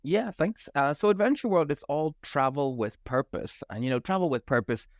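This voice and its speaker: A middle-aged man from Northern Ireland with a softened Belfast accent, speaking as a guest in a podcast interview, enthusiastic and fluent with a few fillers. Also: on the high side, expressive, moderate pace, no echo, clean studio sound. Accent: Northern Irish, softened by years abroad. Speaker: travel company managing director, guest.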